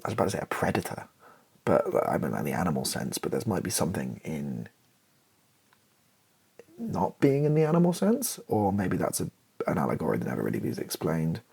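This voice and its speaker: A British man with a very low, crackly voice that swings in pitch as he talks. He thinks aloud in a halting, self-deprecating ramble while drafting writing for ASMR. Keyboard clicks and faint noise sit behind him. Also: wildly swooping pitch, vocal fry, a little mellow, breathy edge. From Britain. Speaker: YouTuber, ASMR video creator. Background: computer keyboard typing and clicks.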